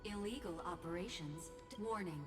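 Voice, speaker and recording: An actress voicing an impersonal computer security system in a video game, making clipped, formal announcements. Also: female voice, fairly low pitch, lively intonation, moderate pace, close to an everyday voice. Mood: cold, warning. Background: game music.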